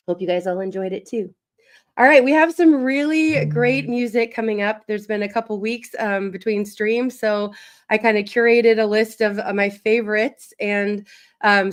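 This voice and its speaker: Hoarse, raspy woman hosting a stream. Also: medium pitch, moderate pace. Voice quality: raspy and a little wheezy. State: hoarse, recovering from illness. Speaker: livestream host. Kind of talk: casual welcome chat on a livestream.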